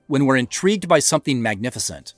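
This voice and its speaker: A man delivers scripted commentary as a show's creator. His mid-pitched voice moves in a lively way, with music playing clearly behind him. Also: quick pace.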